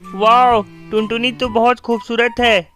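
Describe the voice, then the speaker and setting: A man's voice: very high voice, flat delivery, unhurried, fairly bright tone, soft background music. A man voicing a fickle, scheming pigeon husband in a children's cartoon. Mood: smitten, persuading. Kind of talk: Hindi children's cartoon dialogue.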